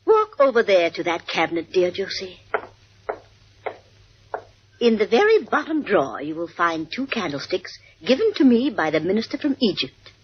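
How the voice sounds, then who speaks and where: An actress playing a wealthy older society hostess, gushing, teasing and imperious, in a theatrical 1950s radio drama style. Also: female voice, medium pitch, sing-song, a little hiss or hum, lightly acted. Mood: commanding, sly. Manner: theatrical, drawn-out endearments, chuckling. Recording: muffled 1950s radio broadcast recording.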